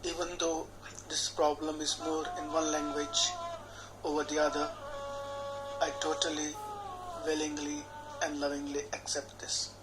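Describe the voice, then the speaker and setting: A man, a healer guiding a tapping session in a slow, measured, soothing way, speaking repeated affirmations phrase by phrase. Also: a little high, low-quality recording. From India. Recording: clear background music under the voice.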